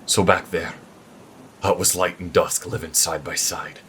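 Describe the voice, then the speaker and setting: A man's voice: low voice, steady tone, measured pace, fairly bright tone. A man playing a stern, devout church keeper, anguished and reproachful toward his lover in a fantasy audio drama. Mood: scolding. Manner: grave, reproachful, emotional. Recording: rain and thunder noise behind.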